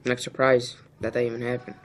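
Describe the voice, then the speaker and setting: A teenage male student acting a defensive high schooler, speaking casually with repetitive slang. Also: monotone, a little fast, lightly acted. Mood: grudging. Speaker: student actor in a peer mediation dramatization. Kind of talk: acted school role-play dialogue. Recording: noisy room ambience.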